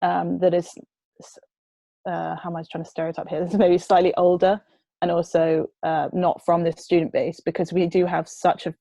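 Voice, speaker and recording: A woman's voice: fairly low pitch, level tone, normal speed, vocal fry, mellow, dry recording. A young woman answering questions for her food waste group on a video call, chatty and hesitant, laughing now and then. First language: not Dutch. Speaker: presenter of a food waste charity. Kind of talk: online Q&A answering.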